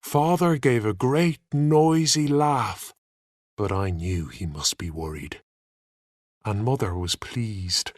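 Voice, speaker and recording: A man reading a story aloud in an expressive, deliberate narrating style. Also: lowish voice, no echo, clean studio sound. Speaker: audiobook narrator.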